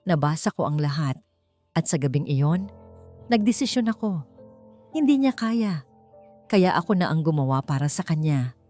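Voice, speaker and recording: A woman's voice: low-pitched, lively intonation, moderate pace, long pauses, warm tone. Woman playing a brave, emotional young waitress, music behind. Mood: tearful resolve. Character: frightened but brave young waitress protecting her younger brother.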